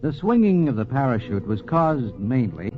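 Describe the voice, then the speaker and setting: A man narrating a scripted 1940s radio documentary with dramatic, deliberate emphasis. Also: mid-range voice, very animated. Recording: dull 1940s radio recording.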